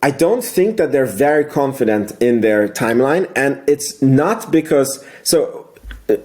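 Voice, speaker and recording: A man's voice: mid-range voice, animated, measured pace, a little mellow. A man hosting a casual financial commentary recap, rambling as he thinks aloud and repeating words for emphasis. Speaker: video host, amateur investor commentator.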